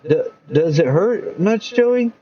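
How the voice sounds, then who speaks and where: A woman's voice: on the low side, expressive, moderate pace, dark tone, voice pushed up, lightly acted. A man voicing a doting small-town mother who gushes over her son back from war. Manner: fussing, tearful, gushing. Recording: dull lo-fi recording.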